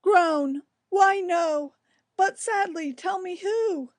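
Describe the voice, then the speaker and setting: A woman playing a concerned, gentle young male cousin in a Shakespearean drama, with an earnest, deliberate delivery. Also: extremely high pitch. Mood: earnest, pressing.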